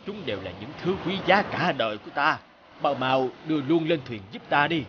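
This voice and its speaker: A man voicing a calm, honest middle-aged district magistrate in a cartoon folk tale, formal and measured. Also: on the high side, muffled recording, noisy background, close to an everyday voice. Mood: grateful.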